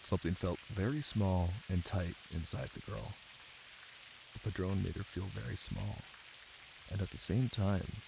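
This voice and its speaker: A man doing a cozy boyfriend ASMR bedtime reading, soft, intimate and playful. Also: low voice, vocal fry. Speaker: ASMR voice actor playing a caring boyfriend. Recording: light hiss under rain ambience. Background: rain.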